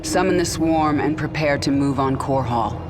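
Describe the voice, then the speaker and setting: A woman's voice: low-pitched, normal speed, close to an everyday voice. An actress playing a vengeful, commanding woman warrior in a dramatic video game. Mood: cold, commanding. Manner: intense, commanding. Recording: loud music behind.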